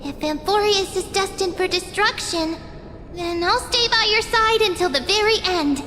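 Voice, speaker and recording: A woman voicing a devoted, bright young girl companion in a fantasy game, with a light, youthful voice. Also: very high voice, normal speed. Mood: caring, earnest. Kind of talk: animated video game voice acting. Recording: long reverb, music behind. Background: music.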